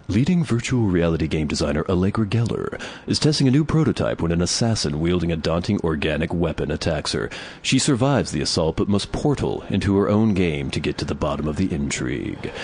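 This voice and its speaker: A man's voice: sits low, very animated, a little fast, darkish tone, breathy edge. A man co-hosting a podcast, chatting casually and wryly, often laughing. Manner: wry, rambling, chuckles often. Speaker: podcast co-host. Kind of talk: casual chat about movies.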